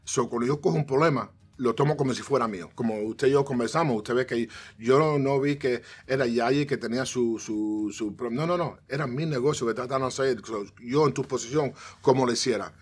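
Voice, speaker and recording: Husky middle-aged man, animated studio guest. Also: medium pitch, brisk, hardly any pauses. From United States, of Cuban parents. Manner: animated, gestures a lot, frequent restarts and English "so". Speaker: guest, financial strategist. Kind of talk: casual interview talk. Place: TV studio set, seated on armchair.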